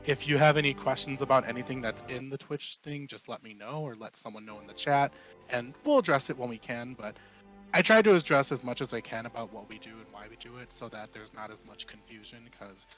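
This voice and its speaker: A man's voice: mid-range voice, a little fast, a bit bright, rough recording. A man with a mid-range voice chats casually as a player on a tabletop game stream. His delivery is conversational and unpolished, and faint music plays underneath. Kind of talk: casual introduction and announcements to stream viewers.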